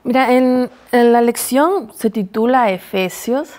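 A woman's voice: a little high, unhurried, a little mellow. A woman in her forties with a Mexican accent, a university teacher talking animatedly in a Bible study panel on a TV studio lapel mic. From Chiapas, Mexico. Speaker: university health sciences teacher.

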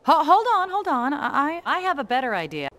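A woman's voice: high-pitched, very animated, fairly quick, a bit bright. A woman playing a confident young sports car in a video game, wry and teasing. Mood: playful confidence.

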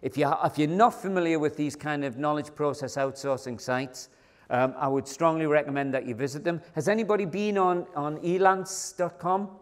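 A man's voice: a little high, normal speed. A man giving a conversational, emphatic keynote talk to a large conference audience. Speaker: keynote speaker. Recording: long hall reverb.

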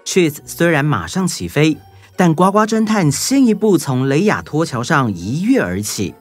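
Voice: medium pitch, sing-song, moderate pace. A narrator reading a scripted children's story. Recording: music bed behind voice.

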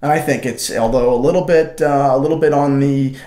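A man's voice: medium pitch, fairly even intonation, a little fast, warm tone, roomy sound. A young man co-hosting a casual chat, talking eagerly with many fillers and restarts into a desk microphone in a small studio. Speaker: co-host. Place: small studio with shelves, indoors.

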